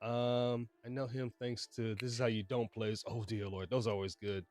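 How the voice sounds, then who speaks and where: A man's voice: medium pitch, flat delivery, moderate pace, darkish tone, dry recording, faint music behind. A man hosting a live stream, giving casual, rambling reaction commentary and talking to his chat. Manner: rambling, talks back to chat. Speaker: streamer host.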